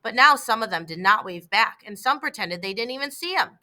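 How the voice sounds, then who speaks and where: Bright-voiced woman reading a children's story. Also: fairly high pitch, animated, a little fast. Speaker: storybook reader. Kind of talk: read-aloud children's story narration.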